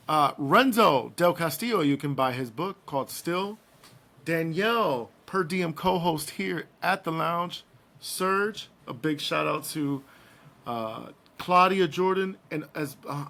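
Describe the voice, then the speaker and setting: A man hosting a casual podcast, slightly hoarse, playful and joking as he gives shout-outs and talks news. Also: on the high side. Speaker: podcast host.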